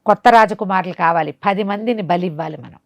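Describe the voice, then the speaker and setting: కథకురాలు పలికే ఆజ్ఞాపించే దుష్ట మాంత్రికుడు. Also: female voice, on the low side, no echo, muffled recording, natural voice. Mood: commanding, urgent. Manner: rapid questions and insistent repeated orders. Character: evil male sorcerer, commanding, suspicious, scheming human sacrifices.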